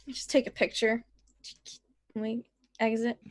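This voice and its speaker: A woman's voice: on the high side, level tone, normal speed, dry recording. A woman playing a tabletop roleplaying game, chatting casually.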